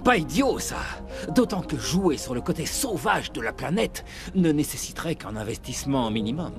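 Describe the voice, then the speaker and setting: Volatile, sneering company man with swooping pitch. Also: very animated, moderate pace, over music. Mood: wary, calculating. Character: ruthless, agitated male corporate official holding colonists hostage. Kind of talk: dramatic French game dub acting.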